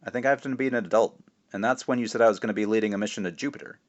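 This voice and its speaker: A man's voice: on the low side, racing, dull audio, lightly acted. An embarrassed twelve-year-old boy from a storybook, voiced by a man reading aloud. He sounds exasperated and pleading, muttering his protests in an everyday, unexaggerated voice. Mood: dry, exasperated. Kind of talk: expressive children's book read-aloud.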